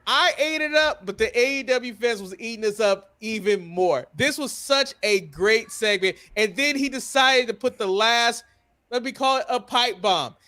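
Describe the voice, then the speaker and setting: A man's voice: very high-pitched, normal speed, sharp tone. A man hosting a wrestling podcast, giving opinionated recaps in a blunt, emphatic and sometimes profane way. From United States.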